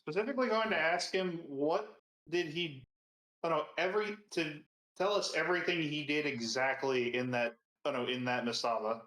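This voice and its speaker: A man's voice: fairly high pitch, normal speed, a bit bright. A man playing a tabletop roleplaying game, talking casually as he describes his character's actions. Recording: roomy, echoing sound.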